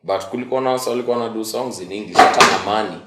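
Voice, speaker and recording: A Kenyan man in his forties, a musician guest telling stories in a relaxed way, switching between English and Swahili slang. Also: on the low side, racing, very noisy. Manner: relaxed storytelling, code-switching. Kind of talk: casual interview in Sheng and English. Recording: roomy echo.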